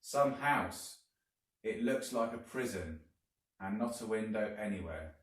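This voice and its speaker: A man reading a children's story aloud like a teacher, deliberately and with dramatic emphasis. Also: on the low side, expressive. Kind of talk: expressive storybook narration. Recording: very echoey room, distant mic.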